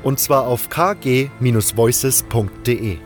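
A man's voice: medium pitch, slow. A man narrating a scripted fantasy audio drama in a dramatic storyteller's style. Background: music.

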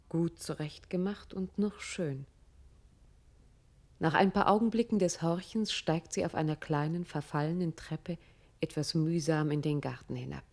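Very low, mellow female narrator. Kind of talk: literary scripted narration in a radio play.

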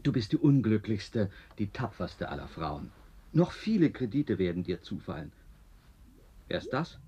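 An actor playing a shameless, mocking flatterer with an unctuous, excitable manner in a radio drama. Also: male voice, on the low side, moderate pace. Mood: mocking flattery. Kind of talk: German radio drama acting. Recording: noisy radio recording with clicks.

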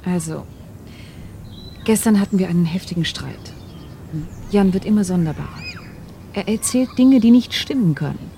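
A woman's voice: medium pitch, normal speed, gravelly, long pauses, dark tone, a little hiss or hum, close to an everyday voice. A woman playing an astronaut's worried, exasperated partner in a German radio play, earnest in manner. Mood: worried, baffled.

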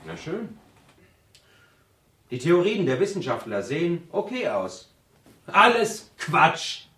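A man's voice: fairly high pitch, sing-song, takes it slowly, fairly bright tone, exaggerated voice. An actor playing a zealous, argumentative male university student who lectures emphatically in a radio drama seminar. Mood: excited, emphatic. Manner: lecturing, emphatic, with theatrical build-ups. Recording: roomy, slightly distant studio acoustic.

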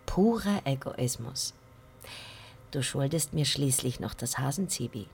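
An actress playing a composed, dryly teasing friend of the hero in a German crime radio play, talking conversationally. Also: female voice, extremely low pitch, expressive, a little slow, gravelly, darkish tone, slight background noise, full character voice.

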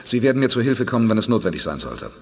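Calm determined commander, lively intonation, long reverb. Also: male voice, on the low side, rapid-fire. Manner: calm, resolute. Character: determined male space commander, calm leader. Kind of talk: 1980s radio play acting.

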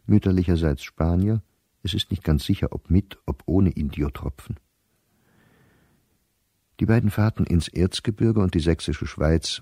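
A middle-aged scholar setting down his diary in a radio drama. His voice is very low, dark and mellow, recorded close and dry. He speaks in measured, articulate phrases with an embittered, sardonic edge and a watchful eye for detail. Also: slightly rough, no echo.

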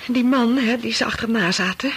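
Husky, fretful wife. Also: female voice, a little high, fairly quick, fairly bright tone, exaggerated voice. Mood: agitated recounting. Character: anxious wife recounting a frightening dream, fretful. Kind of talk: radio play acting.

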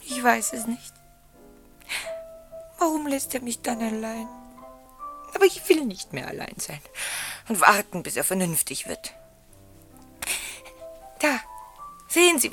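An actress playing a wounded, emotional wife in a German radio play, dramatic, dropping into hushed tones. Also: female voice, on the high side, big pitch swings, measured pace, pauses often, faint music behind, exaggerated voice. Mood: bitter, agitated.

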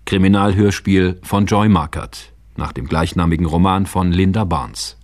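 A man announcing radio play credits in a measured, formal, scripted way. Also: low voice, a little mellow. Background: guitar music.

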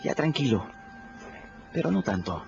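A man's voice: mid-range voice, sing-song, a little slow, creaky, noisy background. An actor playing a scrappy, candid boy who narrates his adventures in a radio drama, confiding in the listener. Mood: candid storytelling. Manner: conversational, confiding, addresses listeners directly. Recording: dull lo-fi radio drama with music.

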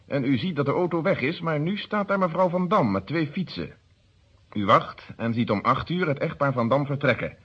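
A stern male police inspector in a radio crime play. He speaks formally and insistently, laying out each point with precision in a slightly husky, mid-pitched voice on a dull old recording. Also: a bit bright. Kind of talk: radio drama acting.

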